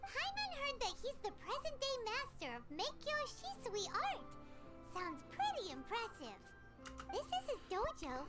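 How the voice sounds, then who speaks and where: Chirpy childlike fairy sidekick for a game, squeaky-high, swooping delivery, music behind. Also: female voice, huge pitch swings, unhurried, heavily acted. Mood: chirpy, chatty. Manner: speaks of self in third person, babyish. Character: small childlike female fairy companion, chirpy and eager. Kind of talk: animated video game acting.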